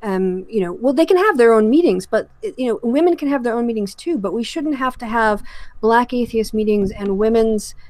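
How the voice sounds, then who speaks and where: A woman's voice: a little high, animated, a little fast, a little mellow, no room sound. A woman hosting a panel discussion, explaining her opinions in hesitant, searching phrases. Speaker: podcast host. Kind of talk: panel discussion on a remote call.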